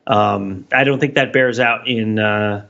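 A man's voice: lowish voice, fairly even intonation, unhurried, gravelly, fairly bright tone. A middle-aged man co-hosting a podcast in casual conversation, discursive and analytical with wry humor, recorded with a dull, lo-fi sound. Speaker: podcast co-host.

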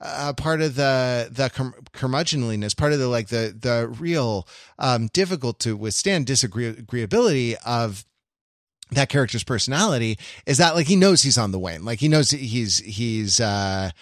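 Halting, analytical man, dry close sound. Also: medium pitch, lively intonation, dry recording. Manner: halting, searching for words, repeats and rephrases himself. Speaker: podcast host. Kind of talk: analytical discussion of classic television.

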